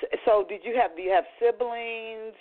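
A woman hosting a book talk radio show, chatty and animated, often restarting her sentences. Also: fairly high pitch, normal speed. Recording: tinny phone-line audio.

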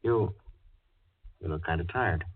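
A menacingly genial man, a stranger with a very deep voice. He talks in a folksy, insinuating, pushy way, as in a 1940s radio thriller. The recording is thin and tinny. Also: unhurried, husky. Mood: coolly friendly. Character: smooth-talking sinister male stranger, falsely friendly, pushy. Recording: tinny, band-limited old radio recording.

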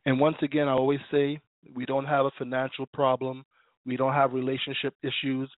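Man, minister, preacherly, slightly raspy, phone-line sound, dry. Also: mid-range voice, monotone, a little fast, dry recording. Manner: fervent, preacherly, prays and reads scripture. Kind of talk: preaching on an internet radio show.

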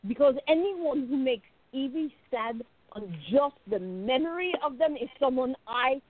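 Woman acting a higher-voiced, besotted young diarist, phone-like sound. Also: lively intonation, measured pace. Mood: wistful, jealous. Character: jealous, besotted young woman confiding in her diary. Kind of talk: dramatic first-person diary narration in a radio play.

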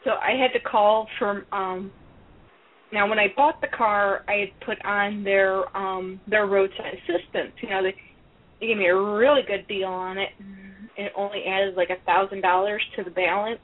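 A woman's voice: mid-range voice, steady tone, moderate pace. A woman from Illinois co-hosting a podcast, chatting in an emphatic, talkative way. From Illinois, USA. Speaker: podcast co-host. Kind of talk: casual chat. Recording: tinny phone-like call audio.

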